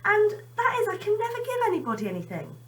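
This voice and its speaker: A woman's voice: very high-pitched, huge pitch swings, fast, pitched above the performer's own voice, heavily acted. A woman reading a storybook, voicing a poor, polite and earnest little boy. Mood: earnest, wistful.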